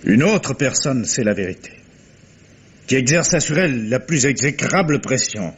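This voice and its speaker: A man acting an anguished, stubborn gentleman with solemn, declamatory delivery in a 1950s French radio mystery. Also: medium pitch, expressive, a little slow, husky. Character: anguished, stubborn wealthy gentleman confiding a dark secret. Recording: noisy vintage radio recording.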